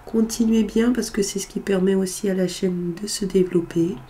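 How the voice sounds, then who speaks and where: A woman's voice: medium pitch, fairly even intonation, normal speed, warm tone, a little hiss or hum. A woman with a cold reading tarot cards in a casual, hesitant way, with lots of filler sounds. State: has a cold, congested voice. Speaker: tarot card reader.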